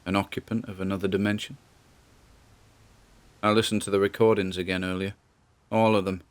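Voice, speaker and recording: A man's voice: low-pitched, flat delivery, fairly quick, close to an everyday voice. A man playing a nervous, wry grandson who records audio logs, in a conversational, musing audio drama monologue. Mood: uneasy, musing.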